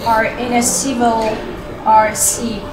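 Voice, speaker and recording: Woman translating, lots of uh's, echoey hall w loud background. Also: fairly high pitch, flat delivery, takes it slowly, very noisy. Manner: hesitant, searching for words with many fillers. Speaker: interpreter. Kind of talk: live interpreting.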